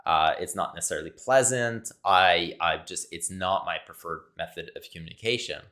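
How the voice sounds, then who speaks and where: A man's voice: low voice, moderate pace, sharp tone. A man from Quebec whose first language is French, speaking English with a Québécois accent in a searching, repetitive solo monologue to camera. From Quebec, Canada. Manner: searching, repetitive, thinks aloud. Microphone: camera mic, talking to camera.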